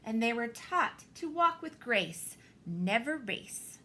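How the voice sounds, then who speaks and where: A woman reading a children's picture book aloud, expressively, with theatrical pauses and deliberate emphasis. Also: a little high, sing-song, sharp tone, slight background noise. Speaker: storybook reader, teacher.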